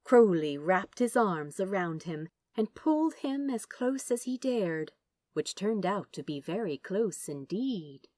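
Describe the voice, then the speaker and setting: A woman reading a story aloud as a narrator, with dramatic, deliberate phrasing and drawn-out words. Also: medium pitch, big pitch swings, warm tone, no room sound, studio quality. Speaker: audiobook narrator. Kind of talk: expressive scripted story narration.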